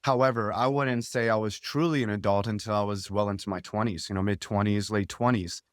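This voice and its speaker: A man's voice: on the low side, fairly even intonation, brisk, smooth, no room sound, studio quality. A man hosting a remote interview, easygoing and reflective, with many "you know" fillers. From United States. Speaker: podcast host.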